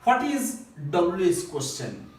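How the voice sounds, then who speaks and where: A man with a Bangladeshi accent teaching a school English grammar lesson, explaining deliberately and emphatically phrase by phrase. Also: high-pitched, big pitch swings, darkish tone. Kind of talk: recorded classroom grammar lesson. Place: echoey indoor room at a board. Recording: very echoey room, distant microphone.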